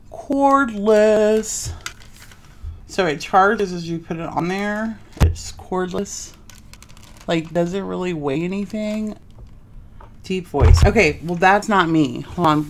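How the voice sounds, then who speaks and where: A woman's voice: fairly low pitch, slow pace, slight background noise. Nasal, chatty woman hosting a craft stream. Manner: chatty, addresses viewers directly, rambling. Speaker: craft livestream host. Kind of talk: casual live sewing chat. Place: home craft room, indoors.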